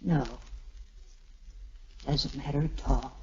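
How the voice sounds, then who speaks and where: An actress playing a tender, earnest young wartime sweetheart in a 1940s radio drama, soft-spoken and halting. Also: female voice, very deep voice, steady tone, noisy background, full character voice. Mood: earnest, aching. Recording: muffled old 1940s radio recording with music.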